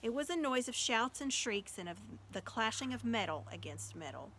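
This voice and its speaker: A woman's voice: on the high side, very animated, normal speed, bright, forceful tone. A woman narrating a children's story expressively as an audiobook reader. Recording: faint background noise.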